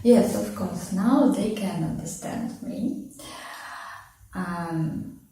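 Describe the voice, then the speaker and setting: Woman, halting, searches for words, slow, mellow voice, echoey room, far from mic. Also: lowish voice, a little hiss or hum. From Russia. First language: Russian. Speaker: interviewee, immigrant learning English. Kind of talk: casual conversation.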